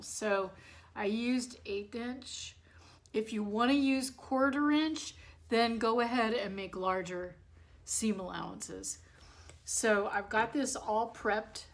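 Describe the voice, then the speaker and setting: A woman with a hoarse voice, walking viewers through a sewing project in her home studio. She talks in a chatty, informal way and corrects herself as she works. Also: mid-range voice, fairly bright tone. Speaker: bag-sewing tutorial host. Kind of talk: casual sewing demonstration.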